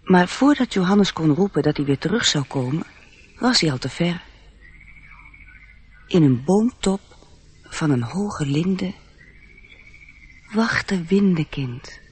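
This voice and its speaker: An actor as the gentle narrator of a fairy tale in a radio play, telling it in storybook style. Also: male voice, sits high, lively intonation, takes it slowly, long pauses, breathy edge, full character voice. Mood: lyrical.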